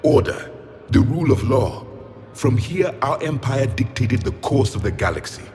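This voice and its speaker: A man's voice: medium pitch, sing-song, normal speed, darkish tone, close to an everyday voice. A man performs an ancient alien warrior for a video game. He is proud and haughty, speaking with solemn, stern authority. Music plays behind him. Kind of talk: dramatic video game acting.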